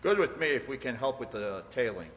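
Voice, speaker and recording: A man playing a practical, cautious police detective in a radio crime drama, brisk and businesslike, in an echoey hall. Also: medium pitch, sing-song, a bit bright, a little hiss or hum. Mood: businesslike, doubtful.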